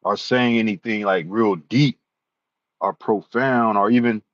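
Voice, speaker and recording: A man's voice: on the low side, steady tone, measured pace, no echo. A man talking casually to his viewers, rambling and repeating himself with plenty of "you know"s. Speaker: YouTuber. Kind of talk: casual talk to camera. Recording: dull lo-fi sound.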